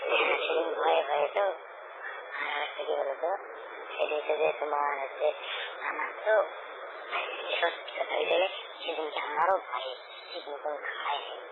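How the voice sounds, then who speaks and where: A man's voice: fairly high pitch, steady tone, moderate pace, gravelly, pauses often. A man chatting casually and intimately on a phone call, often repeating his own phrases. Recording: noisy, narrow phone call recording.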